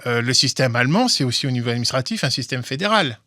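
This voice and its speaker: Man, mid-pitched, halting explainer, close dry mic. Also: animated, pushed and bright, no echo. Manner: halting, many restarts and repeated words. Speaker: guest, legal commentator. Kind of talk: explanatory interview talk.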